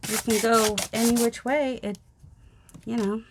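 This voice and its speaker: A woman's voice: on the high side, steady tone, a little slow, background noise. A woman in her forties with a youthful, childlike voice, chatting casually with rambling, self-teasing asides while she paints at a home craft table. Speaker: mixed media artist. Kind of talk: casual crafting vlog narration. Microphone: overhead camera, hands only.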